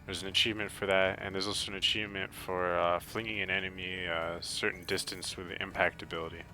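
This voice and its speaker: A man giving casual, halting, explanatory commentary for a video game walkthrough, with game music behind him. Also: sits low, little pitch movement, sharp tone.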